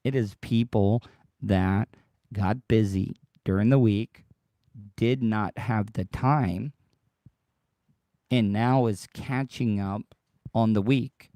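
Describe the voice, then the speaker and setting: A man hosting his own podcast livestream, rambling and repeating himself as he talks straight to his listeners, his voice worn deeper than usual by tiredness. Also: slow, pauses often, warm tone, no echo. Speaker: podcast host. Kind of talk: casual solo livestream monologue.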